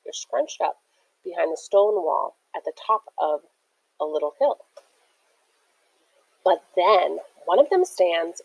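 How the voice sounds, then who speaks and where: A woman reading a children's book aloud as a teacher, in an expressive, theatrical way. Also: lowish voice, gravelly, husky, rough recording.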